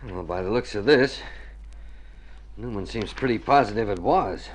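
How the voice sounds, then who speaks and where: An actor playing a worried, tender family friend in mid-century radio drama, earnest and trying to stay practical. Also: male voice, lowish voice, normal speed, a little hiss or hum, close to an everyday voice. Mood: concerned.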